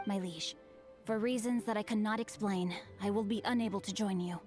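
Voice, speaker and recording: A woman voicing a refined, bookish teenage boy swordsman in a video game, speaking in a formal, flowery, high-minded way. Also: fairly even intonation, normal speed, darkish tone, faint music behind, close to an everyday voice. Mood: composed.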